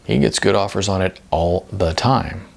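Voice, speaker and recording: A man's voice: low-pitched, moderate pace, creaky. A man voicing a laid-back, world-weary older man in an audiobook, laconic and easygoing with some hesitation. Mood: matter-of-fact. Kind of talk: audiobook character dialogue.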